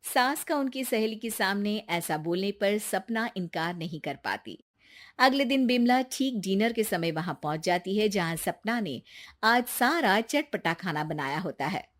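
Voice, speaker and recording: A woman's voice: medium pitch, animated, measured pace. A woman narrating a scripted family story as its storyteller.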